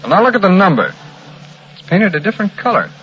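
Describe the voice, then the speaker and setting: Lively 1940s radio G-man. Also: sits high, quick pace. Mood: intrigued. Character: keen, methodical male FBI agent. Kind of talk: 1940s radio crime drama acting. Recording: muffled old radio recording, faint noise.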